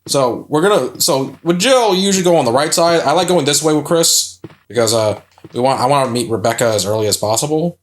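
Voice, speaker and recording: A man's voice: mid-range voice, lively intonation, a little fast. A young adult man casually commenting on a game as he plays, joking, swearing freely and laughing at himself. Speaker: let's play host.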